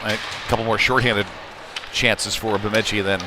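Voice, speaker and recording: A man's voice: lowish voice, fairly even intonation, moderate pace. A man calling live play-by-play of a youth hockey game in a folksy, conversational way, with analytical asides. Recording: noisy rink ambience behind voice.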